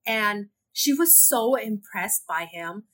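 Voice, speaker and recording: A woman from Hong Kong whose first language is Cantonese, speaking as a guest on a casual podcast. Her voice is bright and crisp, and she tells her stories with animation, breaking into laughter often. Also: medium pitch, expressive, takes it slowly. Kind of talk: casual conversation.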